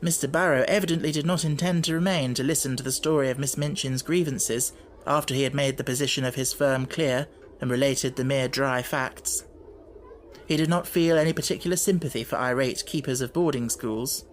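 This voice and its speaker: A man's voice: mid-range voice, steady tone, a little fast, a faint crowd. Male narrator for classic fiction audiobook, scripted literary reading, slight background noise.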